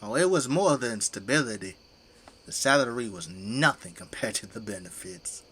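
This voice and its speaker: A man's voice: mid-range voice, lively intonation, normal speed, a bit bright, slightly rough. An actor playing a folksy, boastful, tipsy middle-aged father who fights zombies with a flamethrower in an audio drama. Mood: matter-of-fact. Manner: folksy, colloquial, easygoing bragging.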